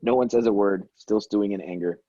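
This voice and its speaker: Mellow, muffled man reading stage directions. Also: fairly low pitch, a little fast, dry recording. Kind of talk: scripted narration, screenplay read aloud. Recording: dull lo-fi audio.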